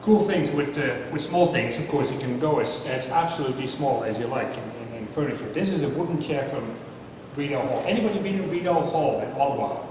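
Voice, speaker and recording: Man in his fifties, chatty public talk, self-deprecating, booming hall echo, heard from a distance. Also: fairly quick, few pauses. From Netherlands. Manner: chatty, self-deprecating, asks the crowd questions. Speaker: speaker at a science talk night. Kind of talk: casual public talk with audience banter. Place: large hall before a live audience. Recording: echoey hall, mic from afar, noisy.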